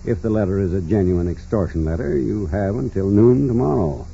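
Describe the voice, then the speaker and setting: An actor playing a dignified, formal judge in a 1940s radio drama, speaking in a measured, courteous and hesitant way. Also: male voice, on the low side, animated, vocal fry, dark tone. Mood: reassuring, firm. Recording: muffled, noisy 1940s radio recording.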